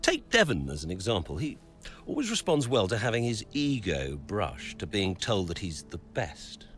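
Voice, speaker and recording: A man playing a calculating, self-satisfied racing team executive in a game's interview scene, deliberate and smug, with thoughtful pauses. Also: on the low side, wildly swooping pitch, fairly bright tone, soft background music. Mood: smugly analytical. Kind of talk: documentary-style interview acting.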